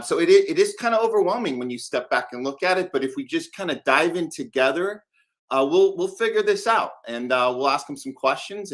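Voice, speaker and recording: A man hosting a live show in a conversational, loosely unscripted way, pausing as he gathers his words. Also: mid-range voice, a bit bright. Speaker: show host.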